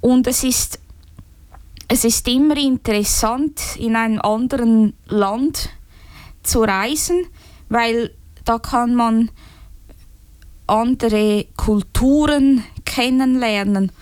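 Slow halting woman. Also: fairly high pitch, a little mellow, clear, resonant tone, dry recording. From Switzerland. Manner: slow, halting, many fillers and restarts. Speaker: speaker in a group talk. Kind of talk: unscripted personal talk.

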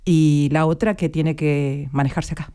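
Low, mellow Argentine woman reflecting. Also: fairly even intonation, a little slow, clean tone. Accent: Argentine Spanish. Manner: reflective, searching for words with many restarts. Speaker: guest. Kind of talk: radio interview.

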